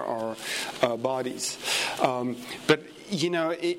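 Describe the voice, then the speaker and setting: A man's voice: mid-range voice, fairly bright tone, slight background noise. A man with a French accent, a native French speaker, giving a conference talk to a room in a church, hesitant with many fillers and restarts and chatty asides. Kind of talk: conference lecture.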